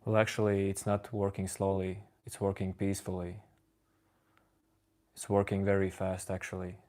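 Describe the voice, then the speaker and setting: A man whose first language is Latvian, calmly answering viewers' chat questions on a live stream. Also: low voice. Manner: calm, unhurried replies to chat. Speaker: livestream host answering chat.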